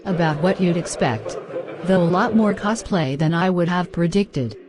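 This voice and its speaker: A woman voicing a wry young woman chatting with a friend at a bar in a cartoon, with a flat, deadpan delivery. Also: lowish voice, moderate pace, natural voice. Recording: loud bar crowd and noise behind.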